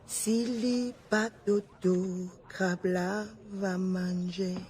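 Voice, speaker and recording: An actress playing a shrewd, worldly madam in a video game, with a measured, teasing, theatrical manner. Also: female voice, medium pitch, flat delivery, darkish tone, slight background noise. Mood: sly, commanding. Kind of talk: video game dramatic acting.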